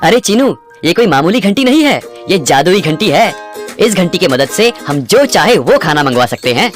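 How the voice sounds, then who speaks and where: Expressive young shepherd played by a man, lively swings. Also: sits high, normal speed, soft background music. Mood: excited. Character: Ramu, kind poor young shepherd, devoted son and brother. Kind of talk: children's cartoon story acting.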